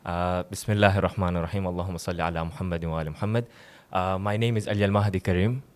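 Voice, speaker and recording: Young male guest from Tanzania, low-pitched and easygoing, recounting his path with a smile. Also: rapid-fire. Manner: relaxed storytelling with light laughs. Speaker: guest, seminary student. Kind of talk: casual interview.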